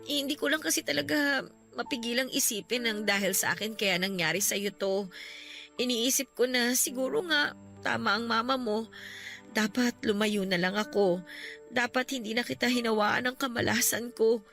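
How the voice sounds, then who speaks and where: A woman's voice: a little high, moderate pace, a bit bright, faint music behind. A woman acting as a devoted, guilt-ridden young sweetheart in a radio drama, with an earnest, plaintive delivery. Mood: guilty, sad.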